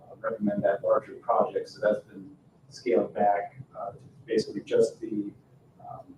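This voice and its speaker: A man giving an informal presentation as a consultant at a public board meeting, with halting, hesitant phrasing. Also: on the low side, monotone, dark tone. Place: indoor town board meeting room. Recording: echoey room, distant mic, faint noise.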